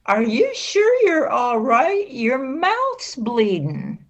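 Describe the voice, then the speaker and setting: A woman playing a gruff but loyal small-town policeman in an amateur radio play over a video call. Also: sits high, huge pitch swings, extremely slow, lo-fi sound, exaggerated voice. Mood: gruff concern.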